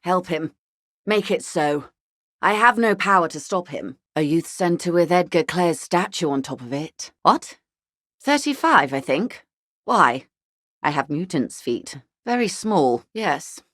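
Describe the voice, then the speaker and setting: Posh middle-aged lady in a video game, deep for a woman, dry studio sound, wry and world-weary, refined and measured. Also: expressive, a bit bright, no echo. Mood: sardonic, then wistful, self-deprecating. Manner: measured, refined, dryly ironic. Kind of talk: scripted game dialogue voice acting.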